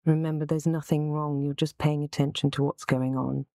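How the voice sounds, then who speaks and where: A woman with a low, soft-toned voice leads a guided relaxation session. She gives gentle, unhurried instructions in a soothing way. The sound is close and dry, with a mellow, relaxed timbre. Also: dry recording.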